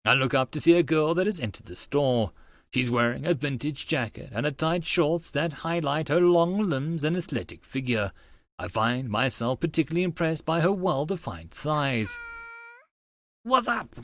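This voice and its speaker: A man's voice: on the high side, lively intonation, moderate pace, no room sound. A man reading scripted first-person story narration as an audiobook narrator, in a dramatized style.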